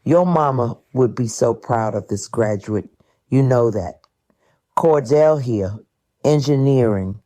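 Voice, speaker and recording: A woman's voice: extremely low pitch, expressive, slow pace, mellow, dry recording, heavily acted. An actor voicing a proud, fussing older grandmother in an audio drama, with affectionate, folksy phrasing. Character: proud, fussing grandmother at a family graduation party.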